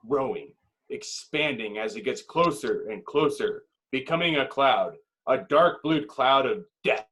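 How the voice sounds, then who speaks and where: A man's voice: mid-range voice, a bit bright, rough recording. A man reading screenplay stage directions as the dramatic narrator of a table read.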